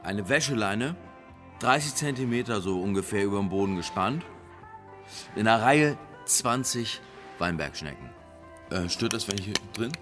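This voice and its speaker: An actor in a radio play playing a crude, jovial politician who rambles and boasts. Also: male voice, lowish voice, expressive, moderate pace, music in the background, natural voice. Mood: boastful, rambling. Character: crude, chatty male politician boasting of boyhood games. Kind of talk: naturalistic radio drama acting.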